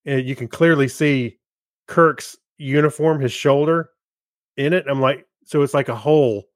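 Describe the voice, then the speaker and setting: A man's voice: medium pitch, a little slow, no room sound. Laid-out casual male podcast chat, very dry sound. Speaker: podcast co-host.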